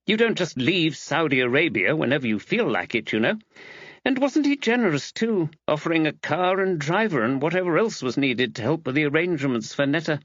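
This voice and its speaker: Man voicing a high-pitched, chatty village café woman. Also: a bit bright, no room sound, highs cut off. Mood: earnest, emphatic.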